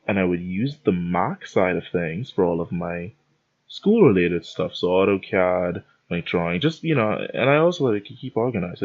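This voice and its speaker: Low husky man ranting casually. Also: muffled recording. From Bahamas. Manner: rambling, self-interrupting, lively storytelling. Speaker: podcast host. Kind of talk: casual rambling rant.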